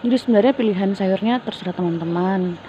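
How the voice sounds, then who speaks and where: A woman from Papua, casually demonstrating a recipe in her home kitchen, friendly and explanatory with frequent hesitant pauses. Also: mid-range voice, mellow, muffled recording, slight background noise. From Papua, Indonesia. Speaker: cooking vlogger.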